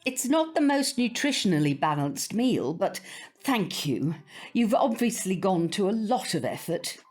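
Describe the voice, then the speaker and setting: A fussy older neighbour in an audio drama. Her voice is slightly rough and very expressive, rising and falling as she speaks. She is prim, proper and snobbish, yet keeps up her manners. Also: medium pitch, unhurried, faint music behind.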